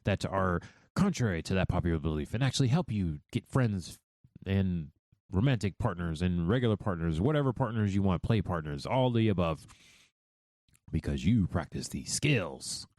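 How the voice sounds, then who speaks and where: A man's voice: sits low, dry recording. A man hosting a podcast, talking loosely and profanely in casual commentary. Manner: animated, loose and profane, speaks fast. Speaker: podcast host.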